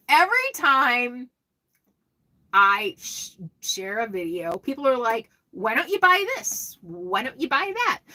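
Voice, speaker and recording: A middle-aged woman chatting casually on a live stream, answering viewers' questions in a rambling way with lots of restarts. She has a loud voice that carries well. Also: high-pitched.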